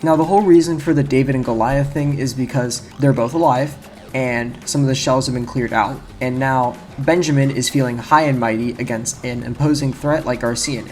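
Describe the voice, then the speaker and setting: A man's voice: mid-range voice, darkish tone. A man giving casual, rambling video essay commentary on a video game, speaking informally and correcting himself as he goes. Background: game music.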